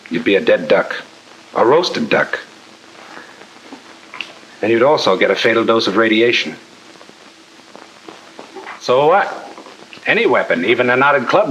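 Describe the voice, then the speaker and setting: An actor playing a tough-talking, slangy army sergeant who lectures in a 1950 military training film. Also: male voice, medium pitch, fairly bright tone, slight background noise, natural voice, rough recording. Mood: blunt, reassuring. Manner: blunt, slangy, wisecracking. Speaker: instructor.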